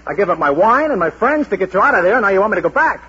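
An actor playing a brash, boastful, cheerful sewer worker in a golden age radio drama, declamatory and bossy. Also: male voice, high-pitched, sing-song, very fast, bright, forceful tone, slightly rough, heavily acted. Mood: exasperated. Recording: muffled vintage radio broadcast with noise.

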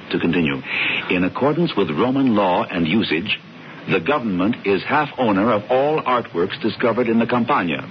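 A pompous, scheming brother, played by an actor, reads the news aloud with theatrical relish. His voice swings widely in pitch. The sound is a dull old radio broadcast with a faint hiss. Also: lively intonation, moderate pace, close to an everyday voice. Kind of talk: 1970s radio drama acting.

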